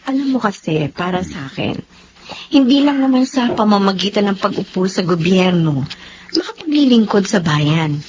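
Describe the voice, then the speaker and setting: An actress playing a sensible, caring wife in a Filipino radio drama, composed and matter-of-fact. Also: female voice, medium pitch, sing-song, normal speed, natural voice. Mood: calm, sincere. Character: sensible, caring wife devoted to medical service. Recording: dull lo-fi audio, clear noise.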